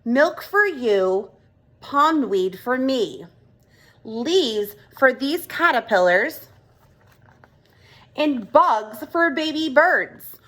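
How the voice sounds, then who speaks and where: A woman's voice: high-pitched, lively intonation, drawn-out words, long pauses, a bit bright, exaggerated voice. A woman voicing a friendly grown-up frog in a children's storybook, explaining things patiently and with emphasis. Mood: patient, reassuring. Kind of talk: children's storybook read-aloud dialogue.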